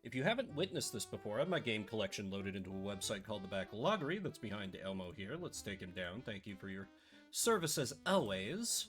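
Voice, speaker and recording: A man hosting a casual live stream, chatty and playfully sarcastic, his voice a little rough and scratchy today. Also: medium pitch, fairly bright tone.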